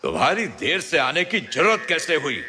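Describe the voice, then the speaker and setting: A man voicing a boastful, commanding tyrant lion who rules the jungle in an animated children's fable. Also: on the high side, normal speed. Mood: angry, proud. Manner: pompous, commanding. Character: boastful tyrant male lion, self-declared jungle king. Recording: long reverb, music behind.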